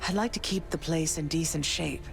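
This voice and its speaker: An actress playing a gracious goddess queen and mother in a video game, gentle, polite and measured, with music behind her. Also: low-pitched, vocal fry. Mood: composed.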